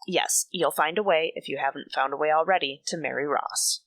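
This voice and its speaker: Woman doing a bitter defensive soap lady, low gravelly voice, close dry sound, clipped and guarded. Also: brisk, fairly bright tone, dry recording. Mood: cold, accusing. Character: bitter, defensive mature woman, jealous of her rival. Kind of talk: radio soap opera acting.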